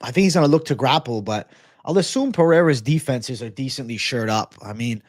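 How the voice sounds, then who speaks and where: A man's voice: medium pitch, animated, fairly quick, no room sound, studio quality. A young adult man from Massachusetts hosting a casual MMA livestream, chatting loosely and animatedly with bro-heavy slang while reading viewer comments.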